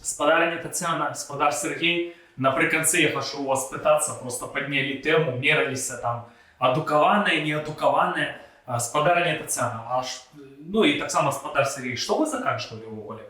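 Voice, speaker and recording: A man's voice: on the high side, a little fast, sharp tone, very echoey, distant mic. A man moderating a political debate, addressing the candidates formally and politely.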